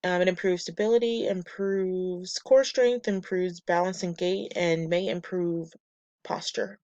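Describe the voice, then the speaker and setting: A woman presenting a class lesson from slides, a bit nasal and raspy from a cold, reading steps and lists in a measured way. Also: lowish voice, steady tone, darkish tone, no echo, highs cut off. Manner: measured, reads steps and muscle lists methodically. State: getting over a cold, slightly nasal and raspy. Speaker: student presenter.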